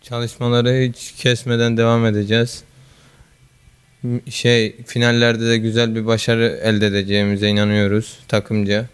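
Teenage young man, short modest answers, monotone delivery, very smooth clear voice, handheld mic. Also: on the low side, normal speed. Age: teens. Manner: brief, modest replies. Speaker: student athlete. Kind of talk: interview answers. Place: school office. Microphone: handheld mic held by interviewer.

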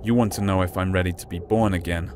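An actor playing a world-weary soul in a video game, speaking in a grave, deliberate, brooding way. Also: male voice, fairly even intonation, lightly acted. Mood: grave, resolved. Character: world-weary male soul choosing to be reborn. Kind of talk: dramatic video game acting. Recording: low rumbling noise behind voice.

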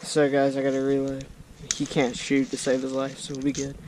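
A man's voice: medium pitch, brisk. A young man gives casual running commentary while filming an airsoft game outdoors on a head-mounted camera. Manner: excitable, shouts and laughs, drops to whispers when sneaking. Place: outdoors in a grassy field with woods. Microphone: head-mounted action camera. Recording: outdoor noise and wind on an action camera.

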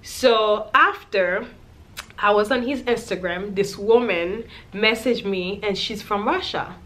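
A woman's voice: mid-range voice, slow pace, fairly bright tone. A young adult woman vlogger telling a candid storytime to camera, chatty, with lots of "like" and "you know". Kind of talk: casual storytime monologue to camera. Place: small indoor room.

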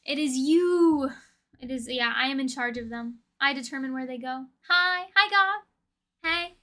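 A young woman talks casually to her livestream viewers. Her voice is very high and bright. She is playful and expressive, with plenty of slang in an informal, chatty flow. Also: unhurried. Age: young adult. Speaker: livestream host. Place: indoor room with desk and shelves of collectibles.

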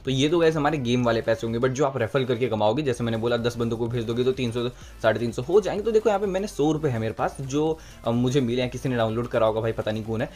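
A man's voice: medium pitch, quick pace, few pauses, darkish tone. A young man doing a chatty, persuasive gaming tutorial voice-over, talking to viewers like buddies. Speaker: gaming video creator. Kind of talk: casual tutorial voice-over mixing Hindi and English. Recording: music behind the voice. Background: background music.

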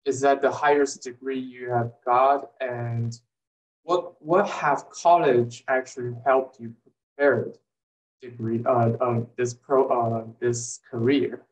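A man's voice: medium pitch, steady tone, slow pace, distant mic. A young man hosting an interview over a video call, polite and a little hesitant when he asks his questions. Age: young adult. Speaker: host. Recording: echoey room, off-mic.

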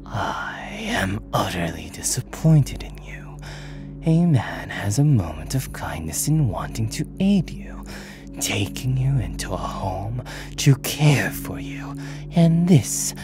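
A man voicing a menacing, possessive demon in a breathy ASMR roleplay whisper, coolly polite and formal. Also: fairly low pitch, very animated, music in the background, pitched above the performer's own voice. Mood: controlled anger. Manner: slow, formal, deliberate.

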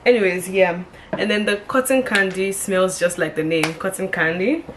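A woman's voice: medium pitch, steady tone, moderate pace, noisy background. A woman in her twenties chatting casually to camera in a vlog, talking to her viewers as "guys" while showing things around her small bedroom. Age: 20s. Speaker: vlogger. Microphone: camera mic at arm's length and across the room.

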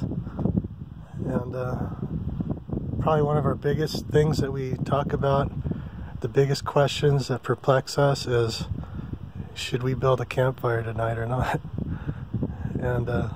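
A man hosting a casual camping vlog from a mountain meadow, talking in a relaxed, rambling way with many ums and uhs. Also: medium pitch, very noisy. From Hawaii. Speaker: vlog host. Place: open mountain meadow campsite outdoors.

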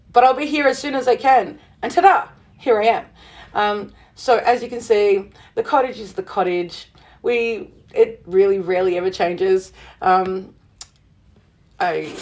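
A middle-aged woman with a slightly husky voice, chatting casually to viewers on her livestream from a cottage room, bubbly and full of fillers. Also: mid-range voice, darkish tone. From Southern Hemisphere. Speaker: witchcraft livestream host. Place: witchy cottage room indoors.

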